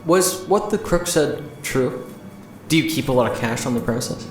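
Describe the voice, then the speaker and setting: A man's voice: medium pitch, expressive, moderate pace, a little hiss or hum, lightly acted. An actor playing an earnest young man who works at a diner, questioning, in an audio drama. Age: young adult. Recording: echoey, faint music underneath. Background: faint music.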